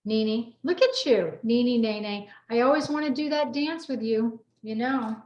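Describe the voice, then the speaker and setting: A woman's voice: fairly high pitch, measured pace, darkish tone. Female host, encouraging, casual interview chat, echoey room, slightly muffled sound. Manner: encouraging, affirming listener. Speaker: host moderating a group chat.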